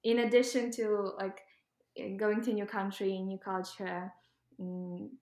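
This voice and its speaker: Young ukrainian woman, accent, casual interview answers, lots of ums, room echo, mid pitch. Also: flat delivery, takes it slowly, a little mellow. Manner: hesitant, many ums, laughs while talking. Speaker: guest.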